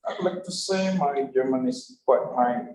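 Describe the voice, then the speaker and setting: A man's voice: mid-range voice, unhurried, darkish tone, a little hiss or hum. A man in his twenties, an alumnus guest on a talk show, chatting casually and self-deprecatingly. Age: 20s. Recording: echoey room with crowd.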